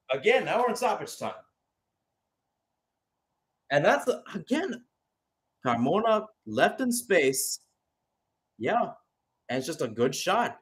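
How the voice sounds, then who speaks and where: A man giving live radio-style play-by-play commentary on a football match, with exclamatory phrasing and rhetorical questions. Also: fairly high pitch.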